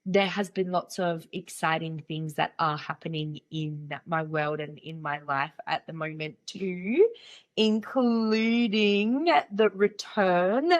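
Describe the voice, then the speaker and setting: Nasal, stuffy-voiced woman chatting slowly. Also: fairly low pitch, dull audio. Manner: candid, playful, chatty. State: nasal from a cold. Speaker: podcast host. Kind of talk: casual solo podcast chat.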